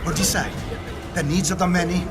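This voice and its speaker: A man acts out a theatrical, threatening villain in a video game. His voice is high and hysterical, reverberating under loud music. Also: fairly even intonation, brisk, close to an everyday voice. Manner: shouting, theatrical. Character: menacing, gloating male villain holding hostages, hysterical edge. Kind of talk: video game cutscene acting.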